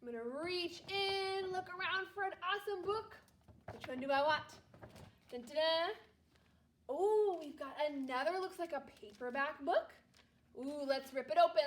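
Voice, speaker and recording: A woman with a high-pitched voice speaks as a primary school teacher reading to her class. Her delivery is animated and addressed straight to young children. She is picked up by a microphone some distance away. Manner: animated, exclamatory, talks directly to young children. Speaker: second grade teacher. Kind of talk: children's storybook read-aloud.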